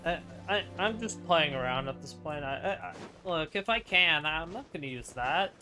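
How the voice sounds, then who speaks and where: A man's voice: fairly high pitch, animated, moderate pace, sharp tone. A man giving casual gameplay commentary, opinionated and thinking aloud as he plays. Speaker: gamer doing playthrough commentary. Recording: clear game music behind voice. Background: game music.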